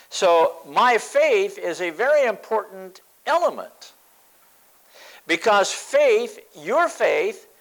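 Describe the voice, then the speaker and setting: An older man in his seventies preaches with an emphatic, repeating style. His voice sits a little high and swings widely in pitch as he presses each point home. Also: fairly bright tone, rough recording. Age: 70s. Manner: emphatic, repeats phrases for stress. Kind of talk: sermon.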